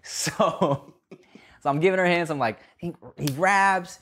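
A man's voice: high-pitched, takes it slowly, fairly bright tone. A man co-hosting a podcast, telling a story in a casual chat, laughing often and acting out quotes, a bit phlegmy. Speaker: podcast co-host.

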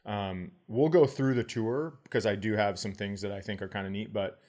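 Low-voiced middle-aged American man. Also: moderate pace, a little mellow. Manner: profane, informal. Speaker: podcast host and comedian. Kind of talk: casual chat, storytelling.

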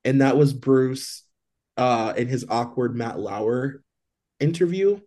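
Mellow-voiced man chatting gossip. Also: mid-range voice, slow. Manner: loose and chatty, heavy on "like". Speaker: podcast co-host. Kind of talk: casual celebrity gossip chat.

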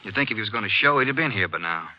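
An actor in a 1950s western radio drama playing an easygoing, chatty Texas Ranger partner, on a noisy vintage recording. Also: male voice, on the low side, lively intonation, racing, natural voice. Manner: folksy, chatty.

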